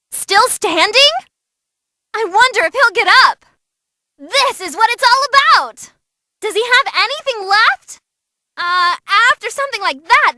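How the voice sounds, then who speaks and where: A young female ringside announcer from a fighting game. Her voice sits extremely high and very bright, swinging widely as she commentates. She is bubbly, theatrical and teasing, with an open, gleeful taste for brutality. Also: slight background noise, exaggerated voice.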